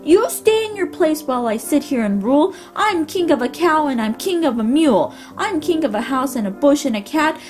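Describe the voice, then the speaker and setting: A woman's voice: high voice, lively intonation, a little fast, hardly any pauses, soft background music, pitched above the performer's own voice. A woman voicing a pompous, boastful male turtle king who bellows his commands.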